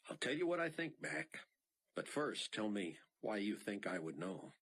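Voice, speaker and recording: A man voicing a calm, steady middle-aged captain who speaks in a measured way. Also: on the low side, vocal fry, breathy edge, exaggerated voice. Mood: probing.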